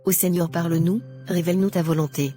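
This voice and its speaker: A woman's voice: lowish voice, fairly even intonation, brisk, dark tone, faint music behind, natural voice. A woman voicing a devout, insecure single woman in her thirties, earnest and guarded, in a cartoon. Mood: prayerful.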